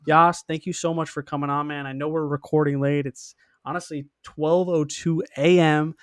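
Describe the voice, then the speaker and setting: A man's voice: fairly high pitch, level tone, moderate pace, clean tone, dry recording, clean studio sound. A young man hosting a podcast in a casual, chummy, slangy interview style. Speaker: podcast host.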